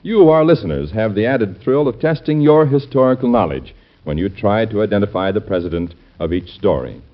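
Animated, low-voiced vintage radio announcer man. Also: fairly quick. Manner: earnest, emphatic. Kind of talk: formal 1940s radio announcing. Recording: dull vintage radio recording.

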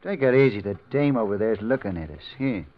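An actor playing a secretive shady go-between in a 1940s radio drama, sly and low-key. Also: male voice, expressive, a little fast, slight background noise, lightly acted. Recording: dull old radio recording.

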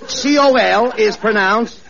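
A man playing the brisk, exasperated straight man in 1940s radio comedy. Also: high-pitched, gravelly, a crowd in the background. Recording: dull old radio recording with live audience.

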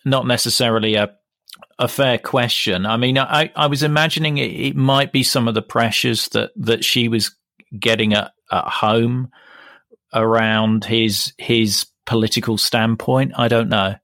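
A man's voice: mid-range voice, steady tone, unhurried, fairly bright tone, no room sound, clean studio sound. A man hosting a podcast, asking tentative questions with hesitant restarts. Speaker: podcast host. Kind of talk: casual interview.

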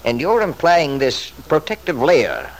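A man's voice: a little high, huge pitch swings, a little slow, heavily acted. An actor playing a stubborn, selfish middle-aged father in an old-time radio soap, blustering and self-justifying in an emphatic way. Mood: indignant, defensive. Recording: noisy vintage radio drama recording.

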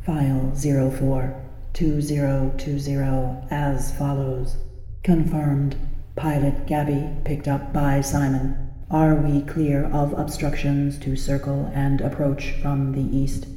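Sci-fi ship computer, female woman actor: very dark, flat delivery, sultry and mechanical, echoing. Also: a bass voice. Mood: measured, impassive. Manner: slow, flat, measured, mechanical phrasing. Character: sultry female ship's computer voice reading out texts. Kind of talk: scripted sci-fi audio drama. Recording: reverberant echoey hall sound, faint noise.

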